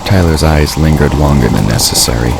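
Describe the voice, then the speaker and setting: Extremely deep male narrator over noisy ambience. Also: a little fast, creaky. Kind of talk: scripted audiobook-style fiction narration. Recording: steady noise behind the voice.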